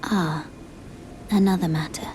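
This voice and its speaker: A solemn young game maiden voiced by a woman, mysterious and formal. Her breathy, half-whispered voice is low and very slow, with clear ambient noise behind it. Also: steady tone, natural voice. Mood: solemn, gentle. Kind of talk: video game character acting.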